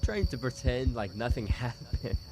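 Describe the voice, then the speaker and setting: Gravelly, obsessive yandere boyfriend, acted by a man. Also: mid-range voice, big pitch swings, background noise. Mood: desperate, pleading. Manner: halting, pleading, murmured endearments, nervous chuckles. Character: obsessive secret yandere stalker boyfriend, tender turning desperate. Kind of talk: dramatic boyfriend roleplay ASMR audio.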